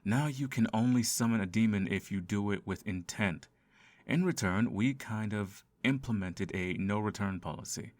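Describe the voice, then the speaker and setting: A man's voice: on the low side, no echo. A man voicing a sardonic, seductive male incubus in an ASMR roleplay, theatrical and dryly sarcastic. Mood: annoyed, insistent. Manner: theatrical, sighing, dry sarcasm.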